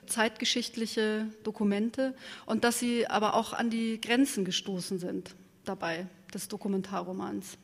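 A woman moderating an interview, putting prepared questions in careful, measured phrases. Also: medium pitch. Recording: reverberant hall.

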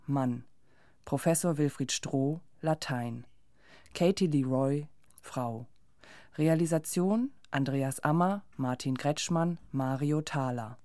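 A woman's voice: deep voice, mellow, no echo, clean studio sound. A woman announcer reading scripted radio credits.

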